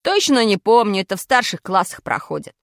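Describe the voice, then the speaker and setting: A woman's voice: mid-range voice, very animated, brisk, no echo, clean studio sound, voice pushed down. A woman voicing a brash schoolboy schemer in a children's story, insistent and self-justifying. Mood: matter-of-fact. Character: brash, clumsy, excuse-making schoolboy schemer.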